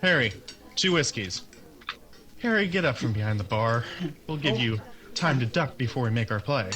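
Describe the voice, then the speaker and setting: A man playing a fatalistic veteran gunfighter in a radio western, menacingly calm, deliberate and brooding. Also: mid-range voice, noisy background, natural voice. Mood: cool menace. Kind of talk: old-time radio western acting.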